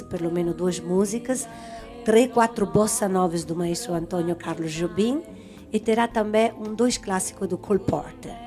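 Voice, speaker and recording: A woman with a somewhat low voice talks as a studio guest, full of enthusiasm, pausing now and then to find her words. Faint music plays behind her. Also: darkish tone. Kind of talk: interview answers.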